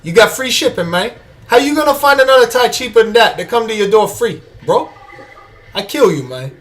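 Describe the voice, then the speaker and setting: Man doing a brash mock Cuban gangster, menacing ad read, high and loud. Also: expressive, brisk, distant mic. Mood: threatening.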